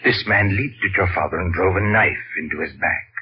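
An actor playing a mysterious madman back from the dead, slow and cryptic, in 1940s radio horror style. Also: sits low. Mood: ominous, hushed. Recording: dull old radio broadcast, noisy.

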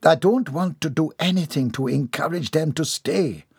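A man's voice: a little high, moderate pace, husky, dry recording, clean studio sound, pitched above the performer's own voice. A man voicing a prim, proper older lady who disapproves of her guests, in an audiobook. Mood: disapproving. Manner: stiff, disapproving.

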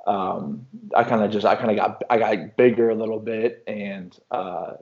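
A young man from Illinois talking casually in an interview, relaxed and rambling, often restarting his sentences. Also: fairly low pitch, moderate pace, lo-fi sound. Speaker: professional basketball player.

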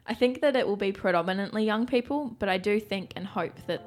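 Measured young woman co-host. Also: medium pitch, a little mellow, faint music behind. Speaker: podcast co-host. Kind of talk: scripted podcast intro and chat.

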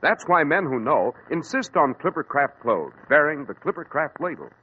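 A man's voice: very animated, normal speed. Man, radio announcer, emphatic sales read, faint hiss, tinny sound. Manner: smooth, emphatic sales pitch.

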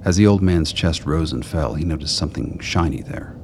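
Really deep voiced guy, narrator reading a radio drama script, faint noise behind him. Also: a little fast.

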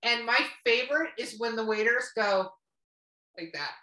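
A woman's voice: a little high, normal speed, sharp tone. A woman hosting a podcast in casual chat, with a blunt, teasing, sweary way of talking. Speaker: podcast host. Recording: roomy echo, distant mic, faint music.

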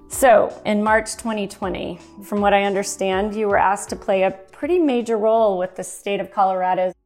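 A woman's voice: medium pitch, normal speed, a little mellow, soft background music. Woman podcast co-host, polished and upbeat. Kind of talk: scripted intro and interview questions.

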